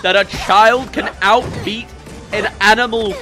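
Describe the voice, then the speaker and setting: A man's voice: sits high, fairly even intonation, faint music behind. A young man hosting a reaction video with casual, mocking commentary. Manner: sarcastic, mocking, quick asides. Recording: show audio playing loud underneath.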